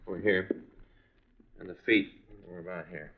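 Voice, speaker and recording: Low, plain-spoken detective sidekick played by a man. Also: fairly even intonation, moderate pace, slight background noise, close to an everyday voice. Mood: plain, helpful. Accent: American. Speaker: detective's partner. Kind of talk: 1950s radio police drama acting. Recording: muffled 1950s radio recording.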